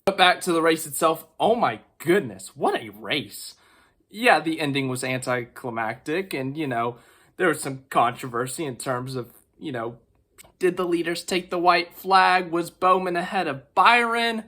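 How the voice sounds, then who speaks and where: A man with strep throat giving a casual, chatty race recap, excitable and full of fillers. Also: fairly high pitch, moderate pace. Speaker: racing vlogger.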